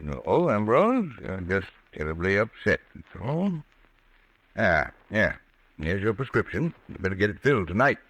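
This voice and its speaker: An actor playing a concerned, reassuring middle-aged gentleman in 1940s radio style, placating and old-fashioned in manner. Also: on the low side, big pitch swings, normal speed. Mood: concerned, soothing. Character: concerned, reassuring male doctor and friend. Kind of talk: 1940s radio suspense acting. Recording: dry vintage radio drama recording.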